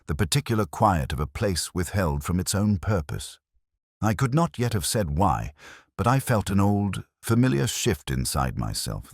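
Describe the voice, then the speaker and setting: A man narrating a scripted first-person detective mystery as a reflective, world-weary inspector, with a measured, brooding literary delivery. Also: low voice, no echo, clean studio sound.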